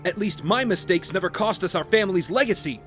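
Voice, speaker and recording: Earnest, troubled big brother played by a man, urgent delivery with big swings, audio drama over clear music. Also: sits high, lively intonation, a little fast. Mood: tense, pleading.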